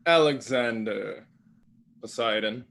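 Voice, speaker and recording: A man's voice: mid-range voice, slow, creaky, fairly bright tone. A man playing a domineering eldest-brother god of the underworld, condescending and threatening, in a staged play reading. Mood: scornful.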